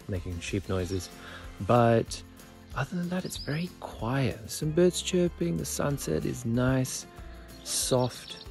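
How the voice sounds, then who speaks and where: A young man talks in the relaxed, informal manner of a vlogger explaining his days. His voice is creaky, with a clear gravelly fry, and music plays clearly behind it. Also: medium pitch. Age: young adult. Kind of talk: casual vlog narration.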